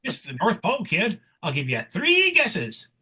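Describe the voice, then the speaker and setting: A small, cheeky comic book elf, voiced by a man. The voice is raised and husky, jumping around in pitch as he grumbles and cracks wise. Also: huge pitch swings, measured pace, sharp tone, full character voice. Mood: grumbling. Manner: cheeky, complaining. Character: grumbling wisecracking little male elf.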